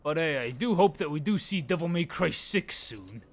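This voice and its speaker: A man's voice: a little high, animated, moderate pace, faint music behind. A man in his late twenties hosting a casual game livestream, chatting to viewers in slangy, informal talk. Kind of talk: casual gameplay chat with viewers.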